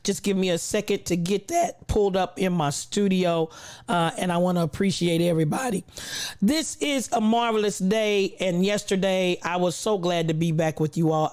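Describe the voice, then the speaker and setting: A woman's voice: fairly low pitch, fairly even intonation, moderate pace, a little mellow. A woman hosting a casual livestream, greeting viewers in an effusive, affectionate, playful way, with a voice not quite back to full strength after losing it. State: recovering from a lost voice, not full voice. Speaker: livestream host.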